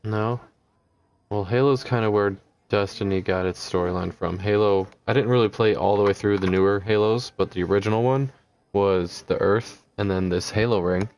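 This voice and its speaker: A soft-spoken man chats through a headset during a live game stream. His voice sits low and barely moves in pitch as he muses aloud and reads in-game text. He stumbles over unfamiliar names. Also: steady tone, normal speed. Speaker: livestreamer playing a video game. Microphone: headset with noise gate.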